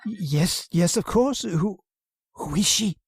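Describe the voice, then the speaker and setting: A woman's voice: fairly low pitch, animated, slow pace, breathy edge, no room sound, studio quality, exaggerated voice. A woman playing a meek, henpecked husband who stammers and defers, in old-time radio horror style. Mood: nervous. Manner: stammering, deferential, mumbling assent.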